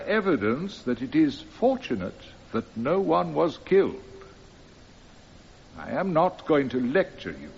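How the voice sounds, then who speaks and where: A stern, expressive judge. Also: male voice, a little high. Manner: formal, deliberate, authoritative. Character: stern, measured male judge passing sentence. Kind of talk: radio drama acting. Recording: muffled radio drama recording, faint hiss.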